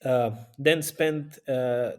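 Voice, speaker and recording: A man from Romania with a Romanian accent, speaking casually as an interview guest and pausing often to find his words. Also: mid-range voice, fairly even intonation, a little mellow. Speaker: interview guest, youth pastor and aid volunteer.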